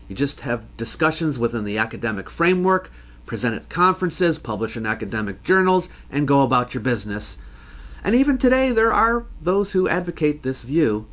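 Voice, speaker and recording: Man explaining in a measured, conversational way. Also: medium pitch, a bit bright. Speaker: host, history educator. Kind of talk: explanatory talk answering a listener question.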